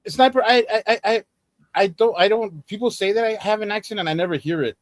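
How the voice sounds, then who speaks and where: A man's voice: high voice, a little fast, no echo. A man with a Los Angeles accent chatting casually as a guest on a live stream, giggling and stammering often. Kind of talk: casual chat.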